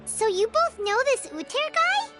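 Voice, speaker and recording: A woman voicing a tiny floating female companion creature, excitable and bouncy, in a video game. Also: very high-pitched, a little slow, exaggerated voice. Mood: curious. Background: game music.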